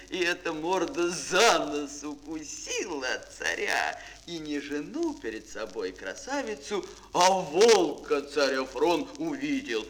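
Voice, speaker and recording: A man's voice: sits high, bright, forceful tone. A man narrating a children's fairy tale in a dramatic, declamatory way, lingering on key words. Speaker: storyteller, narrator. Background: music.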